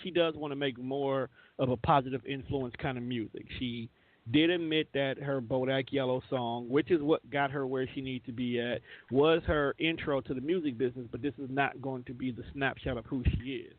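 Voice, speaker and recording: A man's voice: medium pitch, fairly even intonation, normal speed, dry recording. A man co-hosting a radio talk show, speaking casually and insistently as he argues his opinions. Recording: thin phone-like audio.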